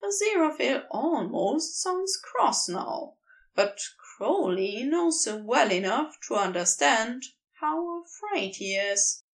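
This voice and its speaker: A woman reading a story aloud as an expressive narrator, recorded a little way from the microphone. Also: high voice, slow, distant mic, rough recording. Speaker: fan fiction audio reader.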